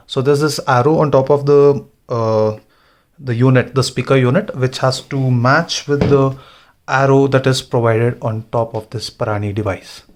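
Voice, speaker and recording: A man giving an informal hands-on tutorial, thinking aloud as he works. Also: medium pitch. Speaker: motovlogger demonstrating gear installation. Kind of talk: casual hands-on tutorial narration.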